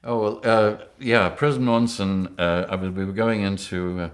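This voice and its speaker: An older man from Rhodesia, telling lively anecdotes in an interview and chuckling often. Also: lowish voice, moderate pace. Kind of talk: storytelling interview.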